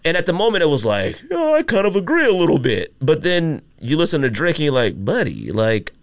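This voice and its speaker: A man co-hosting a podcast in casual chat, animated, acting out what others say. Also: fairly high pitch, brisk, no room sound. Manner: animated storyteller, acts out others in quoted voices. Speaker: podcast co-host.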